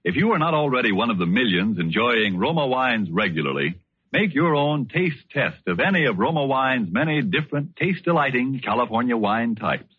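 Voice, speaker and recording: A man's voice: medium pitch, husky, no echo. A man working as a radio announcer, reading a 1940s advertisement with polished, emphatic salesmanship. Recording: muffled, narrow old 1940s radio recording.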